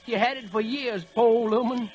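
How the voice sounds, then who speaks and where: A man's voice: very high voice, steady tone, normal speed, a little mellow, lo-fi sound. A man playing a folksy, weary old freight locomotive with an American Southern accent, in a 1940s radio play. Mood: mournful. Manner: folksy, rambling dialect talk.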